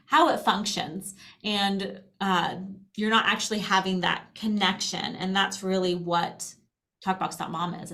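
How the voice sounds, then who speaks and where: A woman's voice: mid-range voice, fairly bright tone. A woman with a mid-range voice talks as a guest in an interview. She explains in a chatty, conversational way, slipping into little quotes and checking in with tag questions. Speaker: interview guest, company founder.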